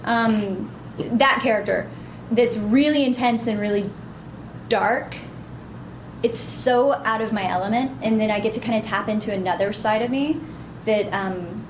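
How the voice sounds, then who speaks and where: A woman's voice: on the high side, measured pace. A woman with a young-sounding voice and a bubbly, upbeat manner, chatting as a voice actress in an interview. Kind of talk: casual interview chat. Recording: echoey room with background noise.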